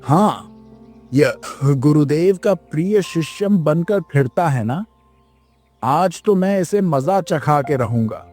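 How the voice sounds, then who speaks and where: A man's voice: on the high side, animated, takes it slowly, darkish tone, faint music behind. A man voicing a lazy, arrogant, hot-tempered young prince in a children's moral story, with a haughty, bullying manner. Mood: spiteful.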